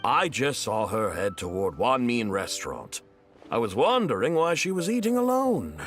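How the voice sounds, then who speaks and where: A man's voice: medium pitch, sing-song, moderate pace, soft background music. Chatty townsman, wildly swooping delivery. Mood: chatty, puzzled. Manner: folksy, chatty, theatrical. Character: chatty, self-satisfied older townsman, friendly busybody. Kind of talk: English video game voice acting.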